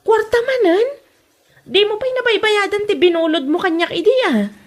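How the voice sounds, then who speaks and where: An actress playing a sensible, blunt young salon worker and patient friend in an Ilocano comedy drama. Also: female voice, extremely high pitch, normal speed. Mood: blunt, incredulous.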